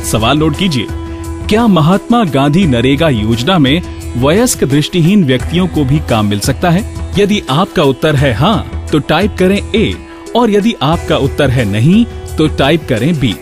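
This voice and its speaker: Casting: male radio presenter, slightly high voice, instructive scripted delivery, prominent music bed behind. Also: big pitch swings, normal speed. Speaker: radio show host.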